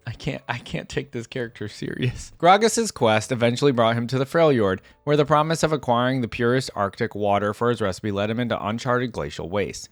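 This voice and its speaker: Male reaction host, mid-range pitch, informal and relaxed delivery for commentary and read-alouds, dry close-mic sound. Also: no echo.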